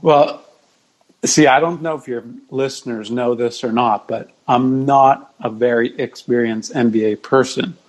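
A man chatting casually as a podcast guest, measured and explanatory. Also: medium pitch, steady tone, poor audio. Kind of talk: casual chat.

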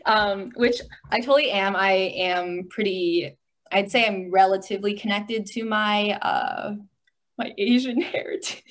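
A woman's voice: mid-range voice, steady tone. Young American female, mid-range voice, casual and giggly, feeling out her thoughts as she talks. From United States. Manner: halting, giggly, thinks aloud. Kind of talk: casual chat.

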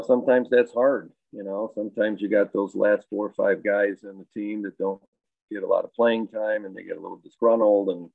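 Gravelly-voiced coach on a tinny line. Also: male voice, fairly low pitch, no echo. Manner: thoughtful, rambling with many fillers and restarts. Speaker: high school baseball coach and health teacher. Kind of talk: casual interview answers. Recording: dry, tinny phone-like line.

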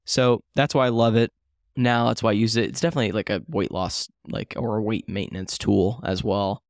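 A man's voice: fairly low pitch, fairly even intonation, brisk, no echo, clean studio sound. A man in his late twenties co-hosting a casual chat, talking in a rambling, self-deprecating way with many fillers and restarts. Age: 20s. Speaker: podcast co-host.

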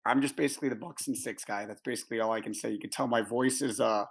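A man guesting on a podcast, his voice raspy after celebrating, talking in a laid-back way with plenty of ums. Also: medium pitch, fairly even intonation, fast.

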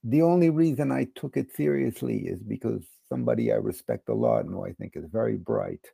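A middle-aged man from the United States with a gravelly, husky voice, explaining casually as an interview guest and often rephrasing himself. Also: fairly low pitch, big pitch swings, fairly quick, warm tone, no echo. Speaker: podcast guest, crypto educator and former bond trader.

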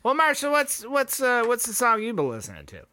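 A man's voice: extremely high pitch, huge pitch swings, fairly quick, pushed and bright, dry recording. A man co-hosting a music podcast, talking loosely with lots of fillers, restarts and swearing. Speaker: podcast co-host. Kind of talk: casual music chat.

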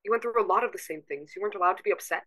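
Actor as calm, probing confidant, dry sound. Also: medium pitch, rapid-fire, dry recording, close to an everyday voice. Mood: probing, insistent. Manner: insistent, probing. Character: calm, probing confidant drawing out painful memories. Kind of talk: audio drama acting.

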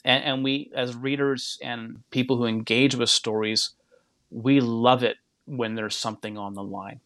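A man's voice: mid-range voice, steady tone, measured pace, a bit bright, no room sound. A man speaking as a podcast guest, thinking aloud and explaining in a reflective, conversational way.

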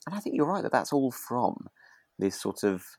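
A man co-hosting a podcast in relaxed chat, hesitant and agreeable as he thinks aloud. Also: mid-range voice, moderate pace, no room sound. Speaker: podcast co-host. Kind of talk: relaxed chat discussing a radio drama.

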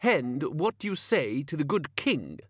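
A man voicing a sharp-tongued young page in a story, sneering and condescending. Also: on the high side, big pitch swings, measured pace, no echo, voice pushed up, full character voice.

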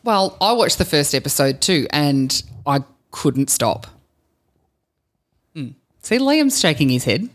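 A woman's voice: low-pitched, very animated, takes it slowly, long pauses. A woman with an Australian accent hosting a casual podcast interview, chatting in a jokey, teasing manner. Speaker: podcast host.

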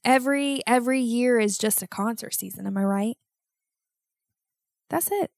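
A young woman hosting a solo podcast, talking in a rambling, self-mocking way with loud outbursts, and sounding congested. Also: a little high, moderate pace, vocal fry, dry recording, studio quality. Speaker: podcast host.

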